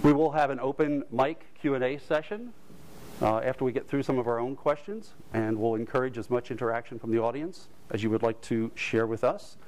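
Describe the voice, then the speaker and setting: A man moderating a conference panel, speaking in a measured, formal manner as he makes announcements and introduces the panelists. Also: mid-range voice, darkish tone, slight background noise, low-quality recording. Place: conference hall with audience.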